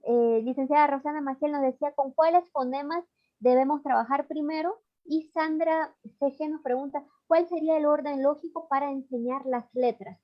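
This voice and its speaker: A woman hosting a live online session, warmly addressing the audience and reading out their questions. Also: sits high, steady tone, normal speed, muffled recording. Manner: warm, addresses audience as dear friends. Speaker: host.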